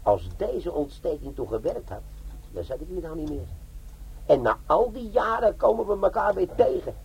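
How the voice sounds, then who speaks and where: An actor playing a cocky, chatty bomb-disposal sergeant of middle age, with darkly joking teasing, in a Dutch comic radio drama. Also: male voice, fairly high pitch, sing-song, normal speed, vocal fry, darkish tone, husky, heavily acted, poor audio.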